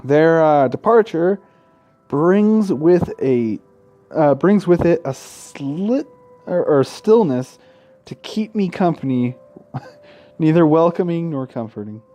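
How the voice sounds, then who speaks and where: Mellow young man, halting Let's Play host. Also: a little high, expressive, muffled recording, faint music behind. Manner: halting, stumbles over words while reading. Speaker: gaming video host. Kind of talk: casual Let's Play narration and chat.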